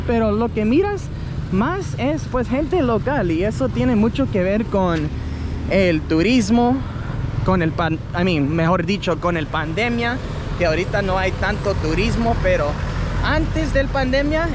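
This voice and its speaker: A man's voice sitting high for a man, talking loosely to a camera held at arm's length. He stops now and then to hunt for a word and corrects himself. Steady traffic and street noise run behind him outdoors. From United States. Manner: chatty, self-correcting, heritage speaker searching for words. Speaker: travel vlogger. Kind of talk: casual walking vlog to camera. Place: city street outdoors in hot midday sun. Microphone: action camera at arm's length.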